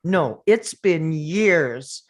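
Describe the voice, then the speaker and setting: A woman playing an anguished, confrontational woman in a dramatic table read, pressing for answers in a halting, interrupting way. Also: sits low, expressive, exaggerated voice. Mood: accusing.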